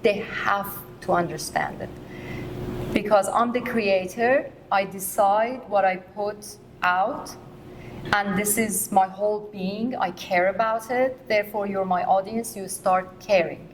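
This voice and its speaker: Animated woman. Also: medium pitch, unhurried, a little hiss or hum, rough recording. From Iran. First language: Persian. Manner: animated and emphatic, laughs often. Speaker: artist on a panel. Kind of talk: casual panel conversation.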